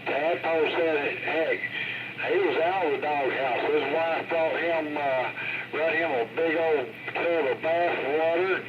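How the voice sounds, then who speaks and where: Muffled noisy CB radio, man, slow casual chat, slightly high voice. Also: steady tone. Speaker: CB radio operators on air. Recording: muffled CB radio with loud static noise.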